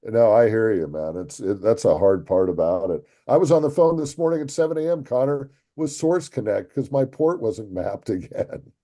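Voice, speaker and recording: A man's voice: fairly low pitch, animated, moderate pace, hardly any pauses, mellow. A man co-hosting a podcast as a voice actor, talking earnestly and encouragingly in casual chat.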